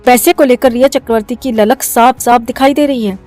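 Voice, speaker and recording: A woman's voice: fairly high pitch, steady tone, fairly quick, darkish tone. A woman reading a scripted news commentary as a voice-over narrator. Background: background music.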